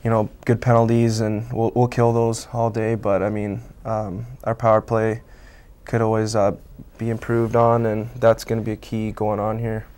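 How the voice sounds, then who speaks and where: Here is a young Canadian man in his twenties, answering questions in a relaxed way in a small local TV studio. His voice is smooth and clear but stays flat and level, with frequent "um"s and "I mean"s, picked up by a lapel mic. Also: lowish voice, moderate pace. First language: Italian. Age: 20s. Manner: relaxed, many fillers like um and I mean. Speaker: college hockey player guest. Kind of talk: casual interview answers.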